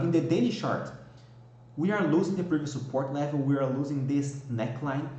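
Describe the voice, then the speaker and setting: Brazilian-accented male market analyst, a bit high-voiced, talks through charts with lots of "okay?" check-ins, recorded in a very echoey room. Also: mellow, dull audio. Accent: Brazilian Portuguese. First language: Portuguese. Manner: explanatory, frequent "okay?" and "all right?" checks. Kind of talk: technical analysis walkthrough.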